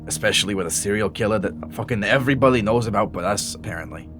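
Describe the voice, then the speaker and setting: Guy acting a jaded middle-aged male detective, really deep voice, wry and sweary, audio drama, faint music. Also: quick pace, lightly acted. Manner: wry, profane, world-weary. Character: weary, cynical veteran male police detective.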